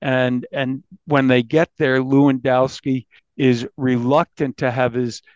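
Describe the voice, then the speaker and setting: A man's voice: medium pitch, little pitch movement, a little slow, a little mellow, no echo, highs cut off. A man being interviewed as a journalist, talking conversationally and often repeating words as he goes.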